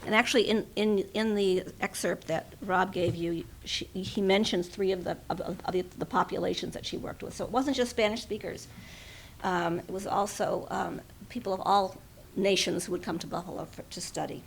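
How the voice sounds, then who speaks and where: A woman's voice: medium pitch, fairly even intonation, normal speed, vocal fry, slight background noise, low-quality recording. A woman speaking at a memorial, reading a tribute aloud and adding informal personal asides.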